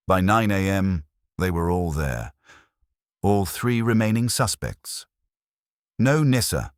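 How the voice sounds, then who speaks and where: A man narrates detective fiction in a very deep register with lively intonation. The voice has a husky grain and sits in a dry, close acoustic. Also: no room sound. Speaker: audiobook narrator. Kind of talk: scripted first-person detective story narration.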